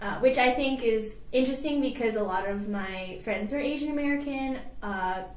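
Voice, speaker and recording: A woman's voice: a little high, moderate pace. Chatty young woman from California, roomy echo. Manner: chatty, many "like"s and fillers. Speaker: guest. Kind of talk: casual interview chat.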